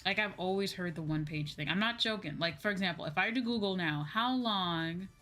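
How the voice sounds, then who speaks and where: Female streamer, lowish voice, informal rambling chat over clear background music. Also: brisk, bright, forceful tone. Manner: informal, conversational, frequent "like" and "you know". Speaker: VTuber livestream host. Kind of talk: casual livestream chat with viewers.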